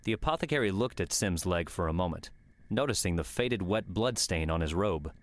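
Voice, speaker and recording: A man's voice: low-pitched, animated, a little fast. A man reading scripted audiobook narration of a story.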